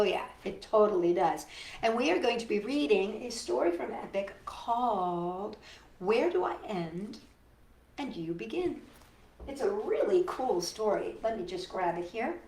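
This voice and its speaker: A woman hosting a storytime for young children, reading and singing along in an animated, encouraging way and addressing her listeners directly. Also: mid-range voice, echoey room. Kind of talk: read-aloud and sing-along for young children.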